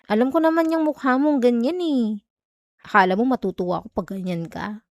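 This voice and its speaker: A young Filipino student girlfriend, acted by a woman in an intimate audio roleplay. Her voice is high and recorded very dry, with no room around it. She talks in a sulky, defensive way, like someone jealous and easily irritated. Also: a little fast, no room sound, lightly acted. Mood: curt, annoyed. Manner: defensive, clipped, teasing Taglish.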